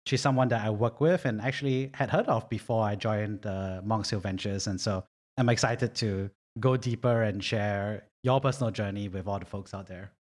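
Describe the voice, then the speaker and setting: A man hosting a podcast interview, chatty and animated, leaning on fillers as he sets up questions. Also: fairly low pitch, normal speed. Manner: chatty, animated, many fillers like "you know". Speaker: podcast host.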